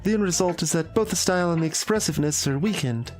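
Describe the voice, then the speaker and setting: A man voicing a shy, soft-spoken bookish teenage girl from a visual novel, hesitant and apologetic. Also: fairly high pitch, fast, soft background music, close to an everyday voice. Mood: earnest, hesitant.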